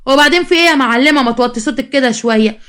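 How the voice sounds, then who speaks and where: A woman's voice: rapid-fire, a bit bright. A woman storyteller voicing a quarrelsome, accusing customer at a vegetable stall, with an Egyptian accent. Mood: backing down. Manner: shrill, accusatory, haggling. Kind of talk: dramatized storytelling.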